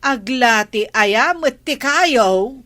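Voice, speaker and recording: A woman's voice: high voice, lively intonation, extremely slow, fairly bright tone, heavily acted. A woman with an Ilocano accent playing a sassy village woman who scolds and retorts in a radio comedy. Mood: scolding. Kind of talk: radio comedy drama acting.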